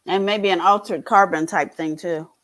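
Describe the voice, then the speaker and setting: A woman's voice: on the low side, steady tone, normal speed, fairly bright tone. A woman speaking casually as a panelist in an informal discussion.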